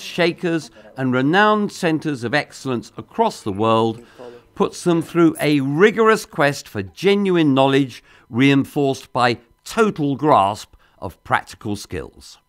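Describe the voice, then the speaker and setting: A man giving scripted promotional narration in a polished, measured voice-over delivery. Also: fairly high pitch.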